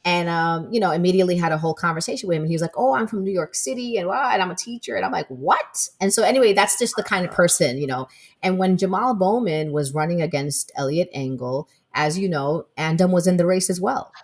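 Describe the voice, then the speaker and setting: A woman with a low, deep voice co-hosts a casual podcast. She talks emphatically and tells stories with energy, posing rhetorical questions and answering them herself. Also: fast. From Palestinian American. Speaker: podcast co-host. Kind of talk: casual political chat.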